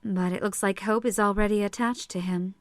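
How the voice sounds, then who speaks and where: A woman's voice: medium pitch, fairly even intonation, brisk, no room sound, clean studio sound, natural voice. A woman playing a caring, worrying but firm motherly wife in an audio drama. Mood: worried.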